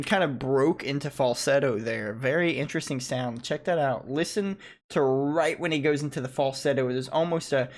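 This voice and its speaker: A man, a native English speaker, giving casual, analytical reaction commentary on music and thinking aloud in a halting way. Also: on the high side. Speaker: music reaction video host.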